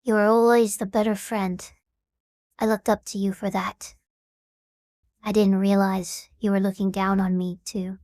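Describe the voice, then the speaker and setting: A woman's voice: mid-range voice, little pitch movement, a little slow, long pauses, a little mellow, no echo, studio quality, natural voice. A woman playing a hurt, combative young streamer in an audio drama, talking in a sarcastic, confrontational way.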